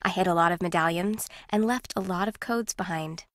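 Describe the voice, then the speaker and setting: A woman playing an aloof, competitive, secretive contestant in a video game, with a deadpan, clipped manner. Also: fairly low pitch, a little fast, no room sound, lightly acted. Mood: cool, dismissive. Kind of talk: video game character acting.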